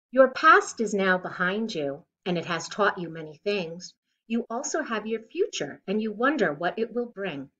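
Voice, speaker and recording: Animated middle-aged woman reading a storybook. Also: medium pitch, muffled recording. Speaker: children's storybook reader. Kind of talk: read-aloud picture book narration. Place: living room at home, indoors.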